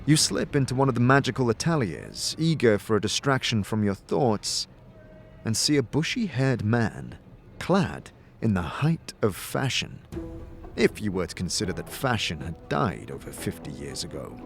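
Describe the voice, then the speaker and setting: Male storyteller, low register, atmospheric fantasy narration, measured delivery over faint music. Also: very animated, gravelly. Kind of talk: scripted second-person fantasy narration.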